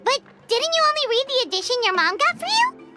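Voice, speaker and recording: Squeaky, excitable little fairy companion. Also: female voice, fast talker, over loud music, heavily acted. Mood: chatty, puzzled. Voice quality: squeaky, childlike. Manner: refers to self in third person, exclamatory. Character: small chirpy floating fairy companion, childlike, talkative, excitable. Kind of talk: animated video game voice acting.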